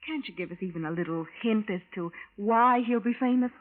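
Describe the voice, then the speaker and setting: A woman's voice: mid-range voice, a little fast, natural voice. A woman acting in a 1940s radio drama as a curious, everyday young housewife. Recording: tinny vintage radio broadcast with music.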